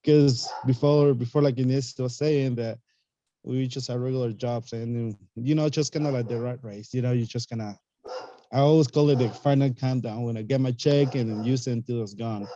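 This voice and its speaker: A man on a video call talking about financial freedom from investing, pausing often to search for words. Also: medium pitch, mellow, muffled recording, slight background noise. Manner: searching for words, many fillers. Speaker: real estate investor guest. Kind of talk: casual reflective talk on a video call.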